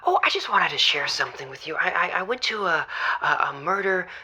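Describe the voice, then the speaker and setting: A woman playing an earnest, rambling caller on a comedic radio-show drama, breathy and given to asking rhetorical questions as she tells her story. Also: lowish voice, lively intonation, brisk, sharp tone, heavily acted. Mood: chatty, enthused. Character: Morgan, gushing theater-loving caller to a radio show.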